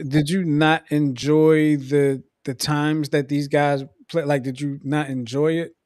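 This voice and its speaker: Host guy going hoarse, kinda high voice, dry close mic, chill teasing vibe. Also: flat delivery, unhurried, a little mellow, dry recording. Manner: laid-back, teasing, repeats sign-off phrases. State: losing his voice. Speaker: podcast host. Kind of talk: casual sports podcast chat.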